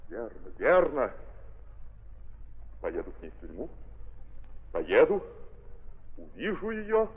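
A remorseful nobleman in a 1930s radio play, husky and halting, tormented by his conscience. He drags out and repeats his words pleadingly. The old recording is muffled, ringing with long reverb. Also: medium pitch, huge pitch swings, heavily acted. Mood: agitated resolve. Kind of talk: 1930s radio theatre acting.

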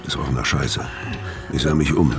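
An actor playing a world-weary career criminal, narrating a noir audio drama in a terse, deadpan way. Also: male voice, rumbling low, normal speed. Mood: tense, grim. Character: hard-boiled, world-weary male career criminal narrating his story. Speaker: first-person narrator. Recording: music bed under voice.

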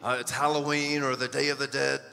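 Bright-voiced man preaching in short bursts. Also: medium pitch, flat delivery, vocal fry. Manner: pauses phrase by phrase for an interpreter. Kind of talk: sermon with live interpretation. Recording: echoey hall with music.

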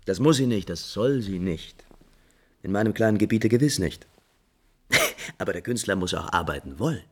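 A man playing an impulsive, refined, lovesick prince in 1950s German radio drama, theatrically shifting between musing and brisk command. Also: on the low side, animated. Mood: animated, critical. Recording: 1950s radio recording with music behind.

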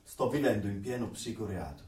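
A man's voice: lowish voice, animated, a little fast, darkish tone, recorded from a distance, natural voice. A man acting a fatalistic secret dissident in a radio drama, introspective and sombre. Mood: resigned, anxious. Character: brooding, fatalistic secret dissident keeping a diary. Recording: echoey, off-mic, faint background noise.

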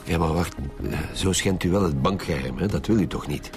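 Flemish radio-drama chief inspector male actor: lowish voice, stubborn and brusque, authoritative, music underneath. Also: a little fast, lightly acted. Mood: formal, firm. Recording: music behind the voice.

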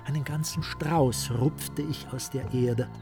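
An actor in a German radio play playing a courteous, self-important man who tells tales in a formal, drawn-out, theatrical manner. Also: mid-range voice, fairly even intonation, vocal fry, music in the background. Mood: fond reminiscing. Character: Mr Hund, courteous, self-important storyteller savouring his sinister tale.

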